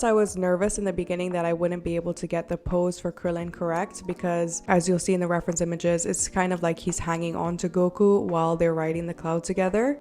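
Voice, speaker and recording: A young woman narrating her craft video in a friendly, chatty way, her voice still a little rough from being sick. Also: fairly low pitch. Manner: chatty and self-deprecating, with little laughs. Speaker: polymer clay artist narrating a craft video. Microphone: borrowed desk mic. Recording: soft background music.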